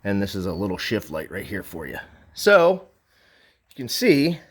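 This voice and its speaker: A man's voice: lowish voice, very animated, moderate pace, breathy edge. A man giving a conversational, enthusiastic, unscripted sales walkaround as a motorcycle dealer. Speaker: motorcycle dealer presenting a bike for sale.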